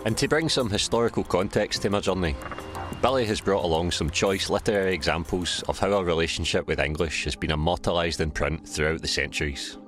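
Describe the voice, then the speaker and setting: A Scottish man with a Glaswegian accent presents and interviews in an easygoing, plainspoken manner. His voice sits very low. Clear music plays beneath him. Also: a little fast, fairly bright tone. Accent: Glaswegian Scots. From Scotland. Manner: casual, plainspoken, often says aye. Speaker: documentary presenter. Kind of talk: documentary narration and interview.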